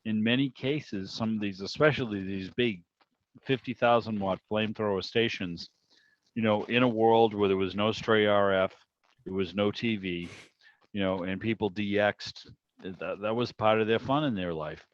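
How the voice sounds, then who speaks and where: Male meeting host, lowish voice, rambling casual delivery, dry and slightly dull video-call sound. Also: steady tone, normal speed, no room sound. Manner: rambling, conversational, frequent "you know".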